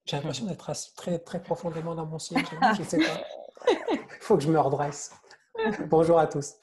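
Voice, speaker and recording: A man, an author speaking as a guest on an informal panel, casual and halting, often repeating his words. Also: fairly high pitch, background noise. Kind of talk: informal live panel talk.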